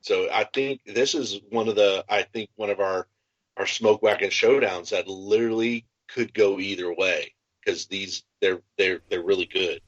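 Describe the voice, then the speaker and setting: A lowish-voiced man talks game strategy as a podcast co-host, restating his points with emphasis. The sound is very dry and close, a little muffled and lo-fi. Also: steady tone, moderate pace, dry recording. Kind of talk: casual tabletop game strategy talk.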